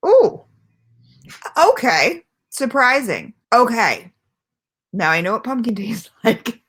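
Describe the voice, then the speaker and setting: A woman's voice: a little high, sing-song, slow pace, a bit bright, slightly rough. A woman chatting casually at home as she reviews a product for her channel, rambling and self-deprecating, with lots of fillers. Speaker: vlogger reviewing e-liquids. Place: at home, not her usual setup.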